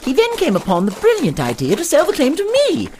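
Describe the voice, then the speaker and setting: A man's voice: very high-pitched, huge pitch swings, fairly quick, background noise, full character voice. A man playing a naive, well-spoken dandy fish from New York in a comic western audio drama, with wordy, formal diction. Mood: eager, earnest.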